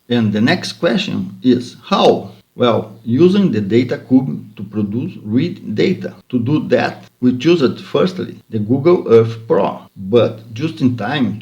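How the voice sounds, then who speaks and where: A man with a Brazilian Portuguese accent, a Portuguese native speaker giving a prepared conference presentation as a university researcher, speaking in careful, measured, slightly halting English into a home computer mic. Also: medium pitch, a little mellow, highs cut off.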